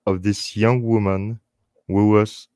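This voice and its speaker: A man with a French accent and French as his first language, hosting a live stream in halting English, speaking earnestly and unscripted in short phrases with repeated words. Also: lowish voice, little pitch movement, mellow, dry recording, clean studio sound. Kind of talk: earnest unscripted talk to online viewers.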